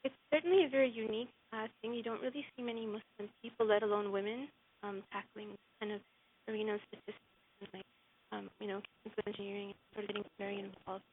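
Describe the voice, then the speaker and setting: A woman's voice: mid-range voice, fairly even intonation, fast talker. A woman interviewing a guest, asking questions hesitantly with many ums and uhs. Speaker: interviewer, blog writer. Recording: phone-line sound with faint hiss.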